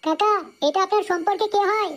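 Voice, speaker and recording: A squeaky, cheeky cartoon hospital assistant. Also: fairly quick. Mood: earnest. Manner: cheeky, foul-mouthed. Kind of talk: comedy cartoon dubbing.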